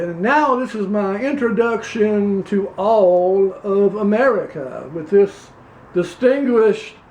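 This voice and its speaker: A man's voice: sits high, a little mellow, heavily acted. A man playing a blustering, pompous male Louisiana politician with a Louisiana accent in an improvised comedy sketch. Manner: pompous, folksy, rambling. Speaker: comedy performer. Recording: roomy echo.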